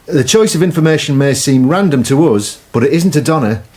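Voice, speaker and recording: Joe, calm husky memory specialist. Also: male voice, fairly quick. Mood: explaining. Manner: calm, low, coaxing questioning. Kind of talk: radio drama acting.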